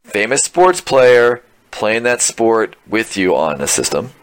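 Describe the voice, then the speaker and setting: A man hosting a casual gaming podcast, wandering from thought to thought and laughing at his own jokes. His voice sits a little low. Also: very animated, slow. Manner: rambling, laughs often, self-deprecating. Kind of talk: casual chat.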